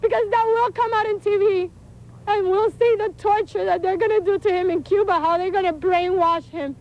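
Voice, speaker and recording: A woman's voice: extremely high pitch, fairly even intonation, normal speed. A distraught, tearful woman making an emotional plea in a news clip. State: crying, distraught. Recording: dull outdoor news clip, faint noise.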